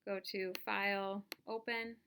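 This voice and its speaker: Bright-voiced woman teaching, some background noise. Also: medium pitch, level tone, measured pace. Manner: informal, instructional, thinking aloud while demonstrating. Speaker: instructor giving a software tutorial. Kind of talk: casual step-by-step screen-recorded lesson.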